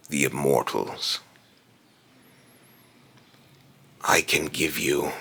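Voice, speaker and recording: A man voicing an ominous immortal being in a theatrical villain monologue, grave and condescending, with solemn, grandiose phrasing and a gravelly, breathy rasp. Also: very deep voice, bright, forceful tone, heavily acted. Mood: seductive, ominous. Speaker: voice actor.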